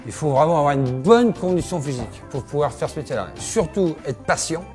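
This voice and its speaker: A man in his fifties from Normandy, a farrier, talks outdoors at his own horse yard. His voice sits a little high for a man, and soft music plays faintly under the talk. He answers steadily and keeps agreeing with "absolutely". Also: lively intonation, a little fast. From Normandy, France. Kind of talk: interview answers.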